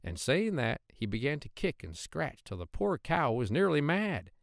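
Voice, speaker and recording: A man narrating a children's storybook in an expressive storytelling manner. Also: fairly high pitch, normal speed, creaky, dry recording, clean studio sound. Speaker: audiobook narrator of children's tales.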